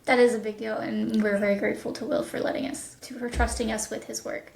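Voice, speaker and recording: A woman speaking earnestly and casually as an interviewed producer, in a measured way. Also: fairly high pitch, flat delivery, creaky, a little mellow. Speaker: executive producer being interviewed. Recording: roomy echo.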